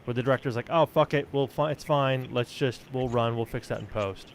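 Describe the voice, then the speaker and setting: A man hosting a casual podcast discussion, speaking informally and with firm opinions. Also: fairly quick. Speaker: podcast host, audio editor. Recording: background rumble and noise.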